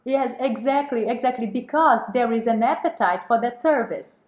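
A woman's voice: on the high side, moderate pace. Hesitant woman in an echoey room. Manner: hesitant, many fillers and restarts. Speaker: guest, women's network co-chair. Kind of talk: casual interview talk.

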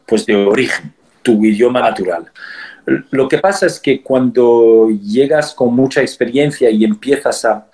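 A man answering interview questions as an actor, thoughtful and halting, often pausing and restarting his sentences. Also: mid-range voice, a little mellow, low-quality recording.

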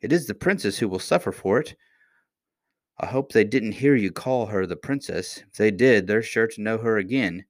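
A man's voice: on the low side, expressive, normal speed. A man voicing a cheerful, fearless twelve-year-old miner boy in a storybook, plain-spoken and self-assured. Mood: brisk, bold.

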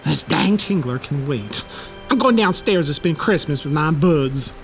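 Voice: medium pitch, expressive, a little slow. An actor playing a panicky, goofy, apologetic writer in a comedic audio drama, exclaiming melodramatically with folksy mild oaths. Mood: remorseful. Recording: loud music under the voice.

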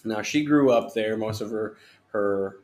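Man, youth pastor, slow halting delivery, low-ish voice, podcast guest. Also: a little mellow. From Honduras. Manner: halting, many fillers and restarts, chuckling. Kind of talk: casual podcast interview.

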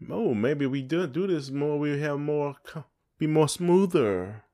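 A man's voice: on the high side, expressive, a little slow, mellow. Southern American man, casual and teasing. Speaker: podcast co-host. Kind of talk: casual chat.

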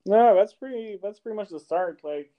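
A man's voice: sits high, lively intonation, normal speed, warm tone. A man from France in his thirties, a music producer talking casually in an interview, rambling with many fillers and restarts.

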